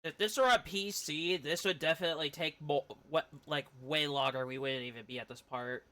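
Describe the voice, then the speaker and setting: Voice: medium pitch, steady tone, fairly quick, bright, forceful tone. A game streamer giving casual live commentary in a blunt, reactive, sweary way.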